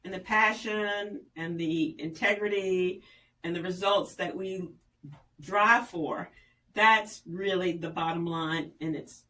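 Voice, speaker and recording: A woman's voice: lowish voice, fairly even intonation, bright, forceful tone, far from the mic, low-quality recording. Polished woman guest, a little off-mic. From Virginia. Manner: polished, promotional, measured. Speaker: tourism official guest. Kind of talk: radio interview.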